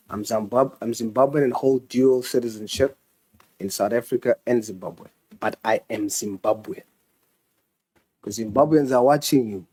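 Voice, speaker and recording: A man's voice: mid-range voice, a little mellow. A man questioning officials in a parliamentary committee, pressing and insistent.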